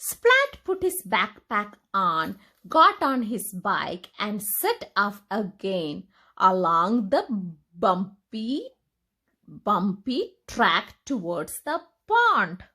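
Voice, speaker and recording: A woman reading a picture book aloud to young children in an expressive, deliberate, sing-song storytelling manner. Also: fairly high pitch.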